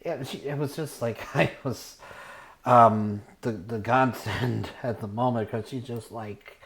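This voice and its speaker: A man telling a rambling personal story casually, halting as he searches for words and trails off. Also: medium pitch. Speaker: storyteller, show host.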